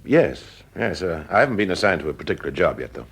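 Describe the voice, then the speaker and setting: A man's voice: sits low, sing-song, fast, husky, heavily acted. An actor playing a wry, easygoing male private eye working undercover in a radio detective drama, probing with hesitant, dry remarks. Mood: casual, thoughtful. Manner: hesitant, probing, dryly humorous.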